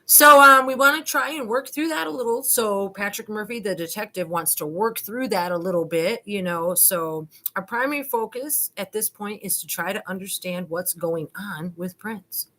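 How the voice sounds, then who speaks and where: Female video host, mid-pitched, reading a document with loose, colloquial asides and a self-deprecating streak. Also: moderate pace, hardly any pauses, sharp tone. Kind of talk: informal dramatic reading with running commentary.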